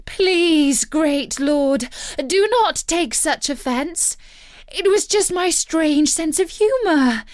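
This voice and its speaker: A woman's voice: high voice, lively intonation, slow pace, dry recording, studio quality, voice pushed up. A woman voicing a beautiful, seductive witch from a storybook, wheedling and commanding in a theatrical way. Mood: pleading, flattering. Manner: theatrical, coaxing. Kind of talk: storybook character voice.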